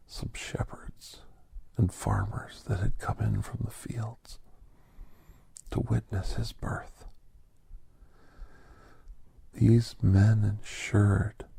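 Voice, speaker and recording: A man voicing a gentle, reflective doctor who tells an ASMR holiday story in a breathy, hushed whisper with deliberate pauses. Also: fairly low pitch, dry recording, exaggerated voice. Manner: hushed, deliberate, with long pauses between short phrases. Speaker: narrator of an ASMR story podcast.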